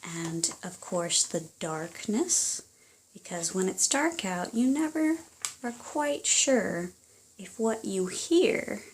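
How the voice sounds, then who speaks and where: A woman reading aloud for children and parents, in an expressive, deliberate storytelling manner. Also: medium pitch, a little hiss or hum, poor audio. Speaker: storybook reader.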